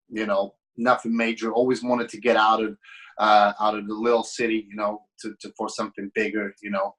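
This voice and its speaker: A man's voice: fairly low pitch, a bit bright, rough recording. A man around thirty from Latvia, with a Latvian accent tinged with British, chatting as a podcast guest in a friendly, rambling way with lots of fillers and restarts. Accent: Latvian with a slight British tinge. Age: about 30. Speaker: podcast guest, business owner. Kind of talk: casual interview chat.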